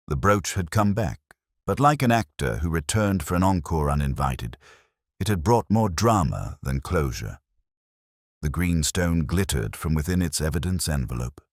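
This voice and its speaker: A man narrating a scripted mystery story in a measured, wry, literary way. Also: extremely low pitch, dry recording, clean studio sound.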